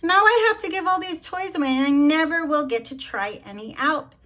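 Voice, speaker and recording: A woman's voice: high-pitched, brisk, fairly bright tone, pitched above the performer's own voice. A woman reading aloud as Santa Claus in a storybook, a busy, good-natured old man who grumbles and exclaims. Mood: mildly exasperated.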